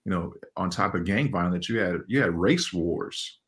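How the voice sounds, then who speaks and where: A man's voice: sits low, normal speed. A man in his forties who grew up in Los Angeles, an animation director talking casually as a guest and laughing often between loose, filler-filled answers. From Los Angeles, California. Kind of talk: casual interview talk.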